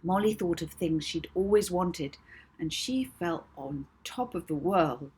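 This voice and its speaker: A woman narrates a children's novel with expression. Her voice sits a little low and has a husky, breathy edge, suited to storybook reading. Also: unhurried, darkish tone. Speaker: author reading own novel aloud.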